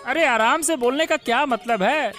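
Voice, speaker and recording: A man plays an exasperated milkman son in a cartoon moral tale. His voice is bright and forceful, insistent and nagging, over background music. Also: extremely high pitch, moderate pace. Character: hard-working, nagging grown son, a milkman. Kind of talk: Hindi cartoon moral-story dubbing.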